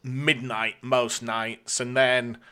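A man's voice: mid-range voice, level tone, drawn-out words, pushed and bright, clear, resonant tone. A British man hosting a podcast in casual chat, with a dry, jokey delivery. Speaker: podcast host.

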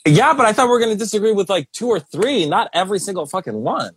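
A man co-hosting a podcast, trading quick jokes and laughing often in casual banter. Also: high-pitched, sing-song, breathy edge, no echo. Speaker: podcast co-host. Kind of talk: casual chat, reviewing reality show contestants.

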